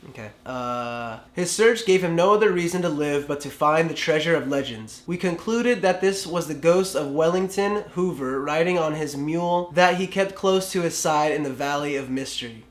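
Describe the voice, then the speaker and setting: Congested young man, echoey room. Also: on the high side. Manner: laid-back, chatty, frequent fillers. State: sick and congested. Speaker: vlog co-host reading a story. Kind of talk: casual chat and reading aloud.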